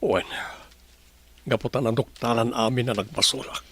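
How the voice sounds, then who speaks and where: An actor playing a remorseful prisoner begging forgiveness in a radio drama, with a gravelly, husky voice. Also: male voice, mid-range voice, fairly even intonation, normal speed, close to an everyday voice. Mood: subdued, ashamed.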